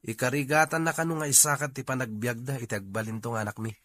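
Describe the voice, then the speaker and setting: A man's voice: medium pitch, steady tone, fast, sharp tone, lightly acted. A man with an Ilocano Filipino accent playing an earnest young man who is torn and doubting, pleading and questioning. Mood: troubled. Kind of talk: radio-style comedy drama acting.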